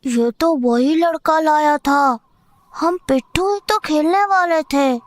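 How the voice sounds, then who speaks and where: An earnest little boy played by a woman in an audio horror drama. He speaks slowly in a very high, childlike voice and insists on his story. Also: dry recording.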